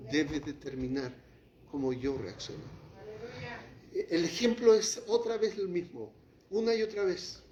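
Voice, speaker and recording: Impassioned preacher man in an echoey hall. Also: on the high side. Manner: impassioned preaching, drops to quiet, then rises to acted outbursts. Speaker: pastor. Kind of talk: sermon. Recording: echoey hall, muffled lo-fi sound.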